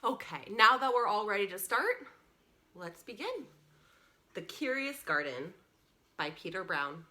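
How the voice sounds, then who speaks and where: A woman reads a children's story aloud in a bright, forward voice. Her pitch moves widely and expressively as she narrates. She speaks directly to young listeners with encouraging asides, like a storytime presenter. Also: animated, a little slow.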